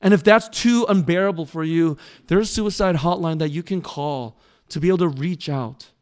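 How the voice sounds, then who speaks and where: Male pastor, church sermon, earnest and pleading, crisp resonant voice pitched a bit high. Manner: earnest, pleading, with emphatic pauses. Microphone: mic that briefly cut out.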